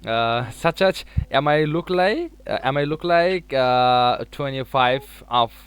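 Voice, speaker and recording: A young man from Bangladesh chats over a video call in hesitant English. He fills his pauses with "uh" and restarts his sentences. His voice is smooth and clear, pitched in the middle. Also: lively intonation, no echo. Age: 20s. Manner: halting, searching for words with many fillers. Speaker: English learner chatting with a stranger online. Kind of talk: casual video chat.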